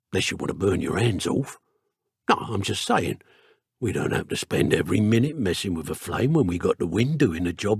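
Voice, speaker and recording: Sneering British dark wizard henchman, swinging pitch. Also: creaky, breathy edge, no echo, clean studio sound, full character voice. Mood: irritated, threatening, then chatty, teasing. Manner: taunting, theatrical, colloquial. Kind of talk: video game character voice acting.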